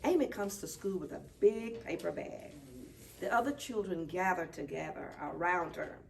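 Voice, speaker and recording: A woman reading a children's picture book aloud at story time, expressive and deliberate. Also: on the low side, vocal fry. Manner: deliberate, animated. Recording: distant mic, faint background noise.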